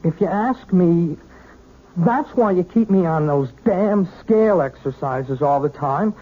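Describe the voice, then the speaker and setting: A young actor playing an earnest, stammering piano student in a 1970s radio drama. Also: male voice, sits high, lively intonation, unhurried. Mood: indignant. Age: young adult. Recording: tinny old radio broadcast with music.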